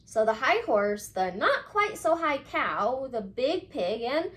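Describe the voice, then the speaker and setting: A woman's voice: sits high, sing-song, takes it slowly, a bit bright. A woman reading a storybook expressively and talking directly to children like a teacher, drawing out key words for emphasis. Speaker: children's read-aloud host, teacher-like.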